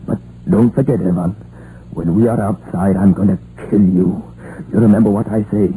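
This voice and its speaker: An actor playing an angry, threatening miner in a 1950s radio drama. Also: male voice, fairly low pitch, steady tone, moderate pace, dark tone, close to an everyday voice. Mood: menacing. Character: angry, threatening Welsh miner, fearful of loss. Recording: noisy old radio broadcast.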